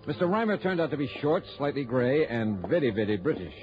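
Guy playing american radio private eye, quick breezy clipped talk, muffled old radio sound. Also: medium pitch, big pitch swings, over music, natural voice. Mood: brisk narrating. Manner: brisk, clipped, colloquial. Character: fast-talking, breezy freelance insurance investigator, a man. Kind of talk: 1960s radio detective drama. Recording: dull vintage radio recording.

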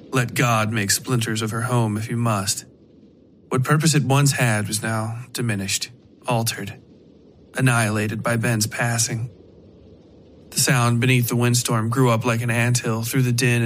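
A man's voice: mid-range voice, a little hiss or hum. A man hosting a fiction podcast and narrating horror stories in a scripted storytelling style.

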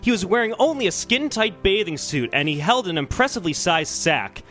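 A man hosting a comedy podcast, bantering casually and sometimes reading a story aloud in an excitable, mock-earnest way. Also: sits high, a little fast, soft background music.

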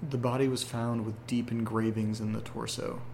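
A man's voice: medium pitch, little pitch movement, normal speed, gravelly, a little mellow, slight background noise, lightly acted. An actor playing an anxious young man who talks hesitantly and rambles, in a horror audio drama. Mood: irritated. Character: anxious young man receiving strange photos.

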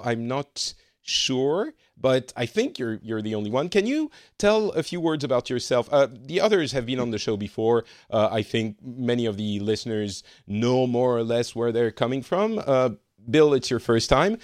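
A man's voice: medium pitch, darkish tone, no echo, clean studio sound. A man in his forties hosting a podcast, chatting and teasing his guests and laughing often. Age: 40s. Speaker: podcast host. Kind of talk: casual chat, welcoming guests.

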